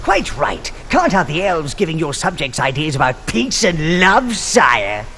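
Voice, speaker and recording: An actor playing an old, sly, servile minion advisor in a video game, raspy and fawning as he counsels his master. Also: on the high side, huge pitch swings, a little slow, a little hiss or hum, exaggerated voice. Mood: advising. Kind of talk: video game cutscene acting. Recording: loud game music behind.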